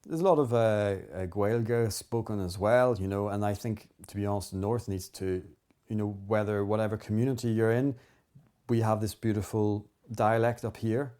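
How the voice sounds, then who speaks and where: A man's voice: fairly low pitch, fairly even intonation, normal speed, mellow, smooth. A man with a Northern Irish accent, speaking as an interview guest in a rambling, earnest way with many fillers. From Northern Ireland. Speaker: guest herbalist. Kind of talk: casual conversation.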